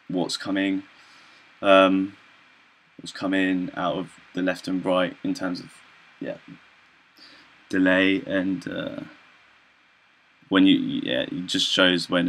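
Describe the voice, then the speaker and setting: A young man giving an informal software demonstration as a student presenter, hesitant and halting, with frequent ums and throat clearing. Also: deep voice. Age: young adult.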